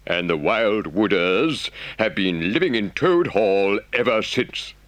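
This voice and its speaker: Man playing a storybook water rat, low pitched, lively swoops, earnest, reproachful, emphatic. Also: slow pace, dry recording. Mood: dramatic, indignant. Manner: emphatic, scolding, dramatic storytelling. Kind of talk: audiobook character voice.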